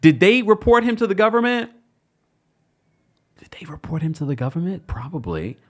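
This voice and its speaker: Sassy man giving lively gossip commentary. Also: high voice, moderate pace. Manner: sassy, mocking, lively asides. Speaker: show host. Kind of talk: commentary on celebrity news.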